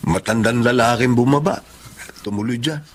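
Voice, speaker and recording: Mid-pitched, wary Filipino husband Victor, acted. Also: male voice, moderate pace, a little hiss or hum, lightly acted. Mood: wary, disapproving. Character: Victor, wary disapproving husband next door. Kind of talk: Filipino radio drama acting.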